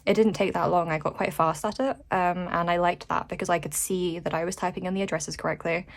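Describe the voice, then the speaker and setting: A woman's voice: lowish voice, steady tone, a little fast. A British woman chatting casually in a vlog update, rambling and self-deprecating in an informal way. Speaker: vlogger and small shop owner.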